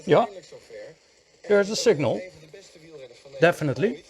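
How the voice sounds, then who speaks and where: Slow-paced man with a higher-pitched voice. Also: expressive, darkish tone. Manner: measured step-by-step, counts and repeats phrases. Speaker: electronics repair presenter. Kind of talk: hands-on tutorial commentary.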